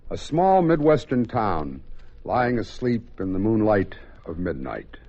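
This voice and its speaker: A man introduces a radio play in dramatic 1940s style. His voice is deep, and the vintage recording sounds muffled. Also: sing-song, unhurried, dark tone. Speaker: host announcer.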